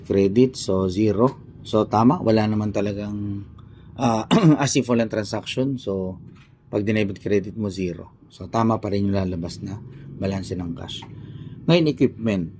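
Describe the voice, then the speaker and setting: A man's voice: fairly low pitch, measured pace, darkish tone, a little hiss or hum. A man with a Filipino accent teaching an informal accounting lesson, thinking aloud step by step and repeating himself as he works through an example.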